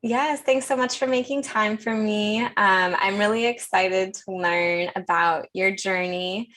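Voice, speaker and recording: A woman's voice: medium pitch, a little slow, a bit bright. A woman hosting a podcast, asking casual questions in a friendly way, laughing often and using fillers. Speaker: podcast host.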